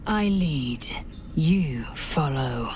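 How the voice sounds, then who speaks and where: Commanding seductress in a game, really low breathy half whisper, super slow, challenging. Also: female voice, expressive, slight background noise, exaggerated voice. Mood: seductive, challenging. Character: seductive, commanding female lover. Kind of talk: video game character dialogue.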